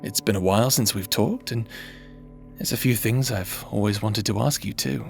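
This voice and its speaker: A man's voice: on the low side, normal speed, vocal fry. A man voicing a remorseful necromancer husband who now haunts his partner's memory, speaking tenderly and teasingly in a roleplay audio drama. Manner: tender, teasing, softly spoken.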